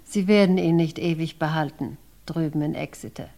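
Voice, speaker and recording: Low-voiced, foreboding village woman. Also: normal speed, dark tone. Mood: soothing, grim. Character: anxious, foreboding village woman named Jenny. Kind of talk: German radio crime play acting.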